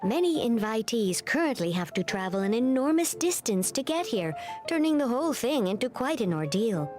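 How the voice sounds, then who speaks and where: An actress voicing a polite, scholarly young woman in a video game, speaking in formal, measured phrases. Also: on the high side, big pitch swings, a little mellow. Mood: polite, explanatory. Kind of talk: scripted video game dialogue. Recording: game audio with music underneath. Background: game music.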